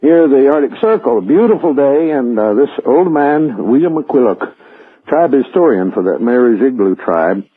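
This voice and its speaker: A man's voice: mid-range voice, sing-song, normal speed, slightly rough. A middle-aged or older man calling a radio show, telling a vivid story in emphatic, clipped phrases. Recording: tinny, narrow phone line.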